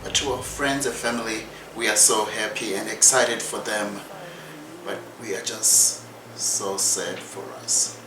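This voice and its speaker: Man from southern Africa, measured, hesitant, lowish voice, roomy echo. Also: steady tone, a crowd in the background. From Zimbabwe and South Africa. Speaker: husband sharing their infertility story. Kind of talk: personal storytelling. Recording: roomy, distant, noisy.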